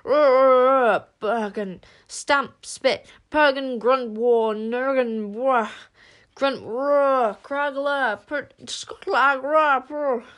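A woman's voice: sits high, extremely slow, a bit bright, voice pushed up, full character voice. A woman voicing an urgent, exasperated male werewolf who growls, roars and grunts. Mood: growling.

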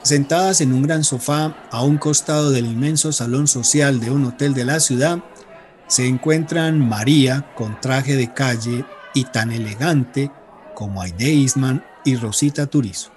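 A man's voice: mid-range voice, unhurried, darkish tone, soft background music. A man reading scripted narration for a radio drama.

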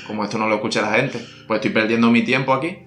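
A man's voice: on the low side, steady tone, fast, fairly bright tone, lightly acted. A man playing a brash, touchy male reggaeton singer, full of slang. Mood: boastful. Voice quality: slangy, keeps saying brother, prickly. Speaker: guest artist. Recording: roomy with faint music.

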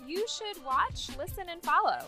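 A woman's voice: very high voice, lively intonation, moderate pace, over music. A woman reading a podcast promo with an affected, indifferent, repetitive delivery. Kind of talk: podcast promo, deadpan list.

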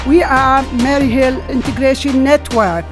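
A woman narrating a community group video in a measured, deliberate scripted read. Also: high voice, music in the background.

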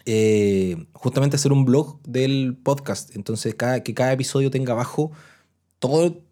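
A man's voice: medium pitch, moderate pace, warm tone. A Chilean man hosting a podcast in a chatty, casual way, often restarting his phrases as he introduces listener messages. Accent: Chilean Spanish. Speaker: podcast host.

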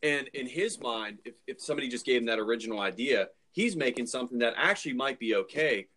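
A man with an average-pitched voice talks as a podcast co-host. His delivery is informal and opinionated, leaning on emphasis as he makes each point in casual discussion. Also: animated, fast talker, bright, forceful tone, a little hiss or hum.

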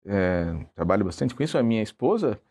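A man's voice: on the low side, big pitch swings, brisk, a little mellow. A man, a translator telling his story in an interview, hesitant and self-deprecating with many restarts. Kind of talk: relaxed conversational storytelling.

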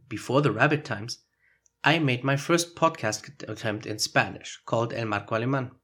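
A man's voice: mid-range voice, a bit bright, slightly rough. A man with a foreign accent hosting a solo podcast, explaining his ideas in a reflective way. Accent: foreign accent in English. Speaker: podcast host.

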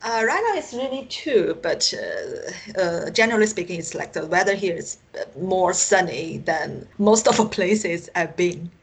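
Lower-voiced Chinese-accented woman, hesitant guest. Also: expressive, unhurried, vocal fry, slightly rough. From China. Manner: hesitant, searching for words. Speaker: podcast guest, author. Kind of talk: casual interview answers. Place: remote Skype call.

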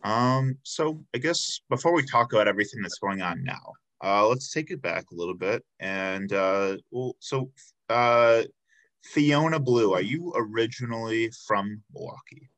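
A man's voice: medium pitch, animated, a little slow, fairly bright tone. A man in his twenties hosting a casual interview, laid-back and loose, with lots of fillers and casual swearing. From Chicago south suburbs, USA. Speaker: podcast host.

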